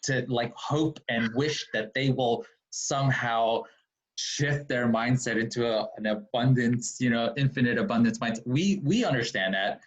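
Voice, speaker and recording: A man's voice: mid-range voice, normal speed, dull audio. A man in his forties, a technologist and guest in a casual conversation, speaking in a blunt, urgent, emphatic way. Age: 40s.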